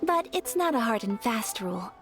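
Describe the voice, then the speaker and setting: A woman playing a gentle, polite young noblewoman in an anime-style video game, speaking in a refined, courteous and modest manner. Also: on the high side, sing-song, normal speed, faint music behind.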